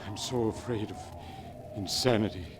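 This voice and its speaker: A man's voice: lowish voice, moderate pace, natural voice. Haunted middle-aged uncle played by a man, noisy old recording. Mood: haunted, fearful. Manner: grave, melodramatic. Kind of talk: 1940s radio acting. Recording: old radio recording, clear noise.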